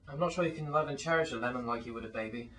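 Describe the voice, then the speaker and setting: A man's voice: mid-range voice, rapid-fire, bright, forceful tone, natural voice. A man playing a naive, puzzled fellow in an absurd comic radio play. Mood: puzzled, earnest. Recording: echoey room, distant microphone.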